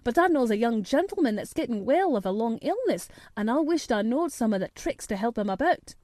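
A woman voicing a friendly rustic boy with a dialect, gentle and encouraging in a storybook reading. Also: a little high, big pitch swings, fairly quick, no room sound, clean studio sound. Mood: good-natured recounting. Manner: plain dialect speech, encouraging.

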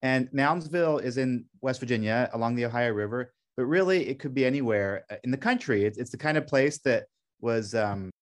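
A man's voice: medium pitch, brisk. Reflective middle-aged man. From Belgium. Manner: earnest, reflective, with frequent restarts. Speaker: guest, documentary director. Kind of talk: radio interview.

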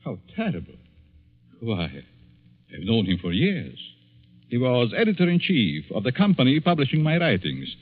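An actor with a Hungarian accent playing a scheming psychology professor feigning innocence, in deliberate, theatrical 1940s radio style. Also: male voice, medium pitch, wildly swooping pitch, breathy edge. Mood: feigned shock. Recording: muffled, narrow-band 1940s radio recording.

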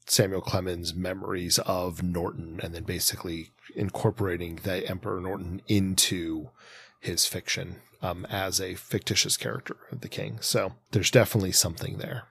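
Male podcast host, lower register, wordy and searching, analytical but casual, recorded dry and close. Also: level tone, normal speed, creaky, fairly bright tone, breathy edge, no room sound. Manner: wordy, hesitant, thinks aloud in long winding sentences. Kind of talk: casual analytical discussion of comics.

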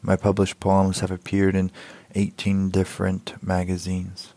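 A man's voice: sits low, monotone, a little slow, dark tone. A man, a poet introducing himself and his work. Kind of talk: spoken introduction to a poetry reading.

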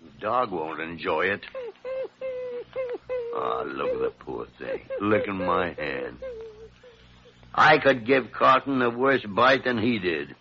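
An actor playing a gruff, sarcastic older senior doctor in an old radio drama. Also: male voice, fairly low pitch, animated, takes it slowly, pauses often, heavily acted. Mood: grudging. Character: crusty, sharp-tongued older senior doctor, male. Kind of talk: 1940s radio drama acting. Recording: dull old radio recording.

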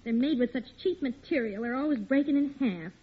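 An actress playing a suspicious, long-suffering American housewife with a pointed manner in an old radio sitcom. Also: female voice, on the high side, expressive, brisk, breathy edge. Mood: exasperated. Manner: pointed, exasperated. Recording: tinny vintage radio recording.

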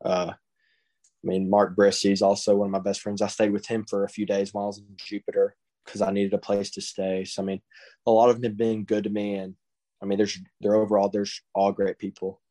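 A man's voice: fairly low pitch, flat delivery, brisk, mellow. A teenage boy from Texas with a Southern accent, answering interview questions in a laid-back way and saying "I mean" constantly. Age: teens. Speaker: guest, high school baseball recruit.

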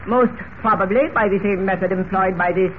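A man playing a menacing Egyptian gunman in an old radio serial, with a formal, deliberate, commanding way of speaking. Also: high voice, close to an everyday voice. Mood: coldly formal. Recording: muffled old radio recording with hiss.

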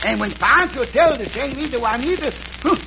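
Male comic sidekick: very high, swooping voice, naive Mexican cowboy in broken English, noisy old radio sound. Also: animated, a little fast, gravelly, heavily acted. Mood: rueful, naive. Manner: broken English, refers to himself by name. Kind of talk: 1940s-50s western radio acting. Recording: noisy, muffled vintage radio broadcast.

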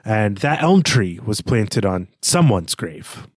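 A man's voice: on the low side, sing-song, slow, slightly rough, dry recording, clean studio sound. A man co-hosting a podcast, casually discussing a book and thinking aloud in a discursive way, correcting himself as he goes. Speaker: podcast co-host.

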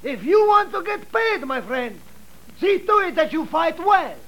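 High-pitched swaggering Mexican bandit chief. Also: male voice, big pitch swings, a little slow, highs cut off, heavily acted. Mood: commanding, amused. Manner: swaggering, theatrical. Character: fierce, boisterous Mexican bandit chief, amused and commanding. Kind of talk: 1940s radio drama acting. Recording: noisy old radio broadcast.

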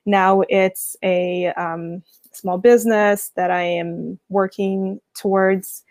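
A young woman from Guyana, a guest talking casually in an interview, relaxed, with many fillers and restarts. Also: medium pitch, slow pace, dry recording. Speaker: guest, finance community founder.

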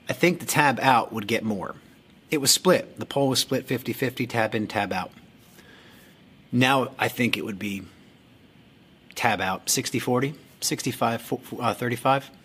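A man hosts a soccer fan podcast in a relaxed monologue. He talks frankly and jokes around, swearing freely. His voice has a husky edge. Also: medium pitch. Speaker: podcast host.

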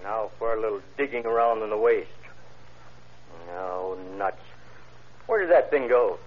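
A man's voice: lowish voice, unhurried, a little hiss or hum, lightly acted. An actor playing a cautious, exacting police ballistics man who speaks carefully and commits to nothing, in old radio drama style. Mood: absorbed. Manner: careful, noncommittal. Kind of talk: 1940s-50s radio crime drama acting. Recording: dull vintage radio recording.

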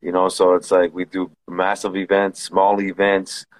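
A man's voice: sits low, completely flat delivery, normal speed. A man from Southern California hosting a podcast in easygoing casual chat, often repeating himself and saying "you know". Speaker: podcast host.

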